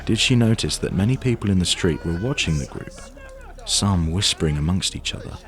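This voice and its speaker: A man's voice: sits low, expressive, fairly quick. A man reading scripted story narration in the third person for an audio drama.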